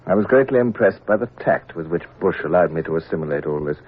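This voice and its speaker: An actor playing a reflective middle-aged British naval captain, narrating in a measured, earnest way on an old radio drama. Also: male voice, low-pitched, lively intonation. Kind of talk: 1950s radio drama first-person narration. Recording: tinny old radio broadcast recording.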